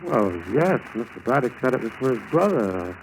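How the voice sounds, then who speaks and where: An actor playing a mild, obliging German-born spy posing as a loyal citizen, in 1940s radio style on a noisy old recording. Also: male voice, mid-range voice, very animated, a little fast. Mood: uneasy, innocent.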